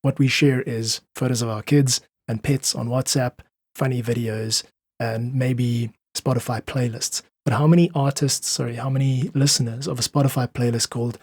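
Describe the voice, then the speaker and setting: Husky-voiced South African man talking emphatically. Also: mid-range voice, fairly even intonation, normal speed, darkish tone, dry recording. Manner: emphatic, persuasive, informal. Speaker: musician, podcast guest. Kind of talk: conversational interview, opinionated monologue.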